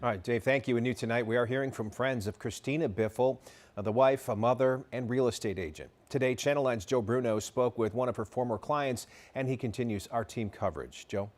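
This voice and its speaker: Male news anchor, American, medium pitch, scripted newscast handoff delivery. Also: a little fast, a little hiss or hum.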